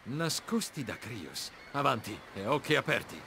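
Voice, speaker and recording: A man's voice: medium pitch, big pitch swings, unhurried, vocal fry, sharp tone, a little hiss or hum, exaggerated voice. A man playing a bold, determined young hero commander in an Italian video game dub, resolute and commanding.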